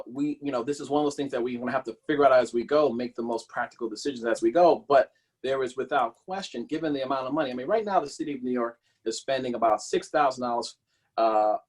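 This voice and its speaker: A man speaking as a political candidate, answering a policy question in a halting, slightly husky voice that often repeats and corrects phrases. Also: mid-range voice.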